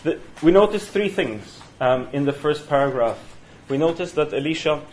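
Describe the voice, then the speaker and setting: A man with a Norwegian accent leading a university study session, talking in a halting way with many fillers and restarts. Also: a little high, expressive, slight background noise. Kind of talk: university seminar lecture. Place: university room. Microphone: single room mic.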